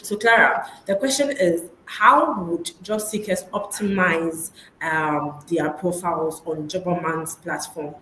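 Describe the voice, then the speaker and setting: A woman's voice: on the low side, measured pace, hardly any pauses, bright, forceful tone. A woman hosting a live online webinar, upbeat, repeating her key points and laughing easily. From Ghana. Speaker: webinar host. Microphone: online call mic. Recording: echoey room, faint noise.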